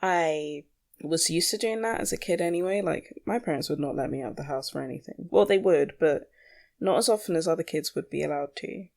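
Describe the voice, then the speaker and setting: A young adult podcast host with a creaky voice, rambling casually alone in a blunt, sweary, self-questioning way. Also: mid-range voice, a little fast, a little mellow. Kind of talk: casual solo rambling monologue.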